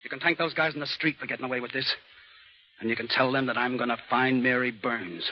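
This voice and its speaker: A man's voice: mid-range voice, quick pace, close to an everyday voice. An actor playing a menacing tough man who talks in a clipped, contemptuous way, in 1930s radio acting style, on a dull old broadcast recording.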